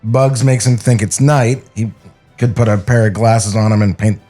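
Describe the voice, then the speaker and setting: Halting, understated animator, read by a man. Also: lowish voice, level tone, mellow, faint music behind, natural voice. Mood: animated pitching. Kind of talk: acted screenplay table read.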